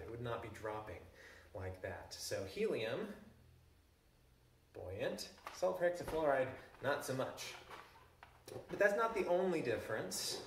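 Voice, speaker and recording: A man in his thirties, a chemistry teacher, giving a relaxed classroom demonstration with frequent ums. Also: mid-range voice, noisy background. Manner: relaxed explaining with frequent ums, handling balloons. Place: large living room with a stone wall, indoors. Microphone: camera at a distance. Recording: echoey room, voice from far off.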